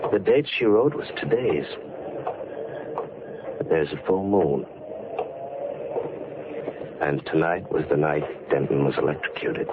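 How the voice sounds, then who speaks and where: An actor playing an earnest, brooding man in an old radio mystery, with a measured, dramatic delivery. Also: a bass voice. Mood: brooding wonder. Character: earnest, brooding male survivor of a murder plot. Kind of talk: 1940s radio drama acting. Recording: noisy lo-fi vintage radio recording.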